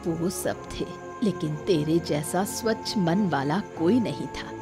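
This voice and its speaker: A woman plays a wise old mother from a village cartoon tale. She explains patiently in a lowish, very slow voice, with prominent music underneath. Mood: calm, revealing. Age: older. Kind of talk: scripted cartoon story acting.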